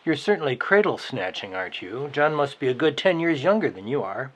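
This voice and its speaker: Man playing a scornful boss, swooping pitch. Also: sing-song, a little fast. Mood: mocking. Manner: sarcastic, needling. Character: scornful, possessive man over forty, a boss. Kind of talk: dramatized novel reading.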